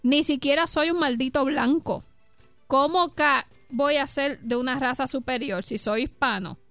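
A woman's voice: high voice, a little slow, a bit bright, no room sound, soft background music. A Puerto Rican woman co-hosting a radio show, reading quotes aloud and laughing as she talks. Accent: Puerto Rican Spanish. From Puerto Rico. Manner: reads quotes aloud, laughs while talking. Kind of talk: casual radio banter.

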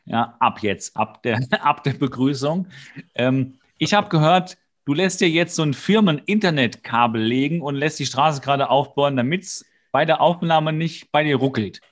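A man co-hosting a podcast in casual, humorous banter, often mock-serious and halting as he corrects himself. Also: on the high side. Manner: mock-serious, tongue-in-cheek. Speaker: podcast co-host.

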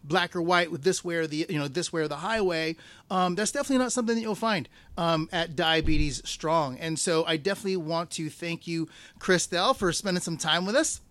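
A man with a notably high voice hosts a casual health podcast. He talks in an upbeat, salesy way, leaning on "like" and "you know" as he goes. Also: a little fast, a bit bright. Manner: upbeat, filler-heavy, salesy. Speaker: podcast host. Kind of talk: casual interview and promo.

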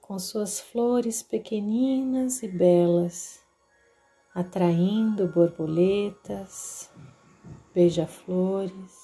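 Slow, mellow Brazilian woman guiding meditation. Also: mid-range voice. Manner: soothing, deliberate, with long pauses. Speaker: meditation guide. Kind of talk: guided meditation narration. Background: background music.

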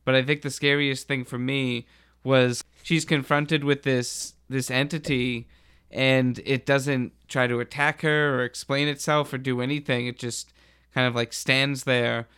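Male podcast panelist, smooth voice in a dry close recording, informal and conversational about movies. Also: medium pitch, flat delivery, a little slow, fairly bright tone, dry recording. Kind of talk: casual film discussion.

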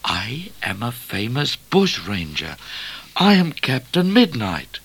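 A man's voice: medium pitch, huge pitch swings, slow, vocal fry, fairly bright tone, husky, pitched above the performer's own voice, heavily acted. A man voicing an earnest, easily wounded young outlaw of twenty in a storybook reading. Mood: proud. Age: young adult figure of twenty. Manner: earnest, cross, aggrieved. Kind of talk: storybook character voice.

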